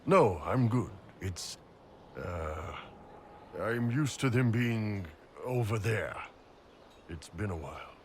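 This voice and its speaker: A low-voiced, gruff mercenary giant. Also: male voice, animated, slow, pauses often, a little hiss or hum. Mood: uneasy. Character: big horned Qunari mercenary warrior, gruff, laid-back. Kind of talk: video game dialogue.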